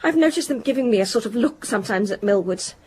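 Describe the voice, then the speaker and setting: An actress playing a proud, guilt-stricken young daughter of a well-to-do family in a radio drama, earnest and defensive. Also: female voice, on the high side, expressive, fast talker, darkish tone, slightly rough. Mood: remorseful. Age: young adult. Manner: earnest, defensive, faltering under questioning.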